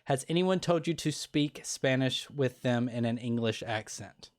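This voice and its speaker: A man's voice: medium pitch, measured pace. Male podcast host, casual interview, rambling, earnest, lots of restarts. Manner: rambling, many restarts and fillers; earnest, opinionated.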